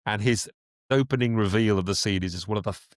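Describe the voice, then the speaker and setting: Low-pitched man in a dry, close recording. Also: fast talker, no echo. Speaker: interview guest, audio drama voice actor. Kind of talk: conversational interview.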